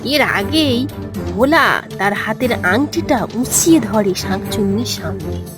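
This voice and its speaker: A woman's voice: mid-range voice, lively intonation, unhurried, over music. A woman narrating a scripted fairy tale for a cartoon as its storyteller.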